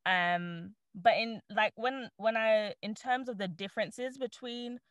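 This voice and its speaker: A woman's voice: a little high, a little slow, smooth, no room sound. Chatty woman in a dry room. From born in Trinidad, raised in the UK. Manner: chatty, animated, laughs often, many fillers. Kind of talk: casual panel chat.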